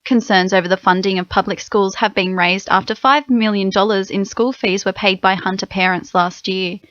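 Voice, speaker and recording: A woman's voice: medium pitch, fairly even intonation, moderate pace, fairly bright tone, dry recording. A woman with an Australian accent reading a scripted radio news bulletin as the newsreader. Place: dry radio studio. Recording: slightly dull, lo-fi radio recording.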